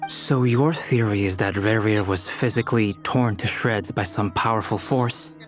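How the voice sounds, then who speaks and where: A man's voice: on the low side, normal speed, faint music behind, lightly acted. A man voicing a composed, scholarly young researcher in an anime game, precise and formal. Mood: composed, analytical.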